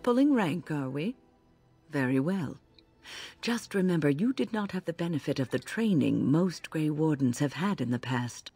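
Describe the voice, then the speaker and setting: Casting: elderly female mage for fantasy game; tart, sardonic, husky, very expressive. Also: sits low, normal speed, faint music behind, full character voice. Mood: sardonic, stern. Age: older. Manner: wry, sardonic. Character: old female mage companion, tart, dryly grumbling.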